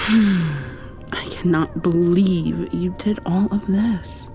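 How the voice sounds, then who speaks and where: A woman doing an ASMR roleplay, drifting between whispers and soft speech in an affectionate, playfully scolding way. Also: on the low side, very slow, faint music behind.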